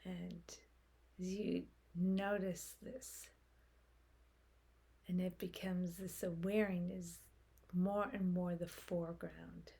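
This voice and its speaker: A woman leading a guided meditation in a soft, breathy voice, speaking gently in short phrases with long pauses. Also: on the low side, flat delivery. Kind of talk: guided meditation, slow unfolding instruction.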